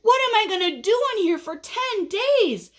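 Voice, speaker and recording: A woman voicing a whiny, clueless and excitable young male caterpillar from a storybook, pestering with eager questions. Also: extremely high pitch, normal speed, voice pushed up. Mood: whiny, restless. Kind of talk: animated storybook read-aloud.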